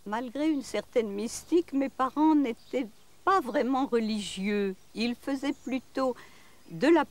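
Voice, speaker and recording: A woman's voice: a little high, a little slow, a little hiss or hum. A mature woman giving unscripted family recollections in a documentary interview. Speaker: painter's child interviewed in a documentary.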